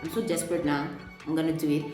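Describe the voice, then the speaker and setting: A young South African woman telling a storytime while doing her makeup, casual and animated, acting out dialogue in a raised voice. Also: deep voice, fast talker. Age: young adult. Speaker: beauty vlogger. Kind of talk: chatty get-ready-with-me storytime. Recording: echoey room with music behind.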